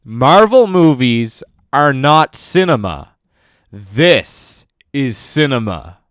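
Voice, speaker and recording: A man's voice: mid-range voice, sing-song, takes it slowly, fairly bright tone, dry recording, studio quality. A man hosting a scripted countdown video, commenting in a mock-earnest way with exaggerated praise. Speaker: video host. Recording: music under the voice. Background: music.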